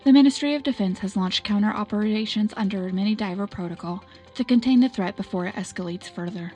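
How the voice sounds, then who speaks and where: A woman playing a composed military news anchor, reading a scripted wartime bulletin in a formal, measured way. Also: mid-range voice, mellow, faint music behind, natural voice.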